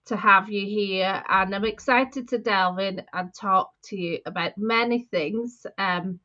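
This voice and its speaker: A woman's voice: mid-range voice, a little slow, sharp tone, muffled recording. A woman in her forties hosting an interview over a video call, welcoming her guest effusively, with some hesitations. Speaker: host. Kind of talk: interview host introduction.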